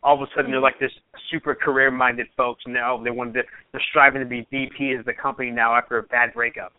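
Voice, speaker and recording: A man's voice: medium pitch, level tone, quick pace, no echo. A man co-hosting a phone-in radio talk show, chiming in with wry, casual remarks. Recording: dry, tinny phone-like sound.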